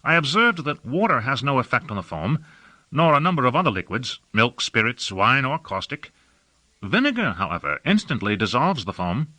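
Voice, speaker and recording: A man's voice: mid-range voice, big pitch swings, normal speed, pushed and bright, no echo, poor audio. A man voicing a nervous, earnest young apprentice, tentative and modest, in an audiobook fantasy. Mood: nervous, hopeful.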